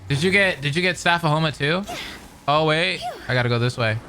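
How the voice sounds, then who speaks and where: A young man commenting casually and excitedly while playing a game. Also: a little high, brisk, a little hiss or hum. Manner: excitable, chatty, says dude. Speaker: gaming streamer. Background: game music.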